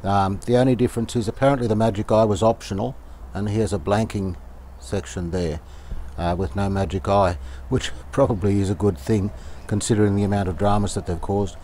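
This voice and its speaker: Low, mellow man thinking aloud while working. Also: fairly even intonation, a little fast, few pauses, slight background noise. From Australia. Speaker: radio restorer presenting his own repair videos. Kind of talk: casual hands-on workshop narration.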